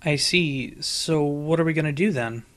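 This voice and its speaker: A man's voice: on the high side, moderate pace, natural voice. Man voicing a modest, studious young unicorn mare. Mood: curious. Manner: polite, hesitant, earnest. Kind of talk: fan fiction audiobook character voice.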